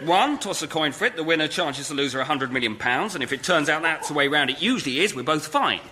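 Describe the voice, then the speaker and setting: A man playing a flustered, bluffing film-studio negotiator in a radio comedy sketch, animated and nervously hesitant. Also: a little high, fast talker, a little hiss or hum. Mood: puzzled, reasoning.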